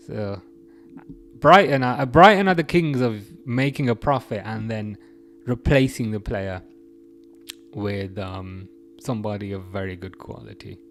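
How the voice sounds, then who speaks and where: A young man hosting a relaxed football livestream, reading out chat messages and rambling through his thoughts with frequent restarts. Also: fairly low pitch, a little slow, faint music behind.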